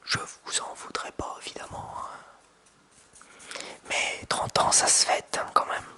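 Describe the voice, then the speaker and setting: A man in his thirties whispering softly into a close binaural microphone for ASMR, with hesitant restarts. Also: a little slow. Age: 30s. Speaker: ASMR creator. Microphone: close binaural mic with hand sounds.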